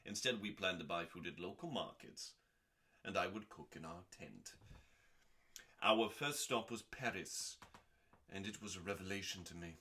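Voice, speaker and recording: A man hosting a dramatic scripted reading in a deliberate, theatrical manner with pauses and dry, deadpan delivery. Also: low voice. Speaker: host.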